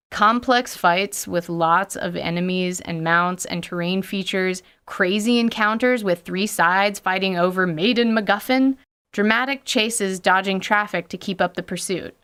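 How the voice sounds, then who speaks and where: A woman presenting scripted gaming commentary as a host. Her delivery is playful and theatrical, leaning on emphasis and mock-dramatic asides, at an ordinary mid pitch for a woman. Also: fairly bright tone, clean tone. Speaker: podcast host and game master.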